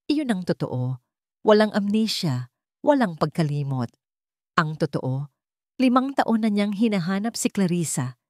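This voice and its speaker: A woman's voice: mid-range voice, big pitch swings, measured pace, pauses often, darkish tone, dry recording, studio quality. A woman with a Filipino accent reading a scripted dramatic story as its narrator.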